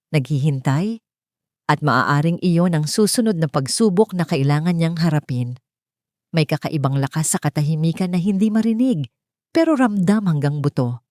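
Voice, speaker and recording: A woman narrating a scripted dramatic story in a measured, solemn and sentimental way. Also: sits low, dark tone, no echo, studio quality.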